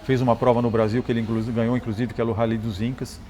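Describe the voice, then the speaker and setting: A Brazilian man, a motorcycle engineer and rally champion, telling stories in a relaxed interview, often restarting words and adding "né?". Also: medium pitch, very fast, a little hiss or hum. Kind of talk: conversational interview storytelling.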